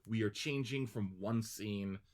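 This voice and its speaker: A man hosting a podcast, reading the stage directions of a script in a steady, explanatory way. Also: lowish voice, a little slow. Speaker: podcast host and narrator.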